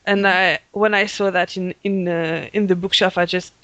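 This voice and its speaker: A man's voice: high voice, level tone, moderate pace, pushed and bright, clear, resonant tone, no room sound, muffled recording. A man hosting a fan podcast, talking in a hesitant way with many fillers and frequent laughs. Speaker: podcast host. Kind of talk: casual chat.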